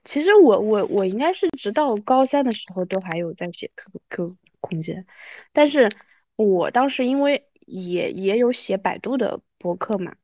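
A woman in her thirties hosting a podcast, chatting casually and reminiscing in an animated, self-deprecating way. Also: medium pitch, moderate pace, no echo, highs cut off. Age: 30s. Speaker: podcast host.

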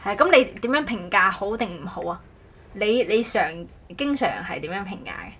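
A woman co-hosting a casual podcast, telling stories in an animated, rambling way. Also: mid-range voice, normal speed, slightly rough. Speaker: podcast co-host. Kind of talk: casual chat.

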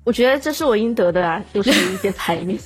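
A woman's voice: medium pitch, animated, fast talker, darkish tone, slightly rough, soft background music. A woman appearing as a podcast guest, chatting casually with joking, mock-boastful delivery.

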